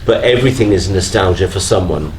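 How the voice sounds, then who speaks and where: Man, low voice, earnest and emphatic panel guest, noisy live event recording. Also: fast talker. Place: on stage at a fan convention.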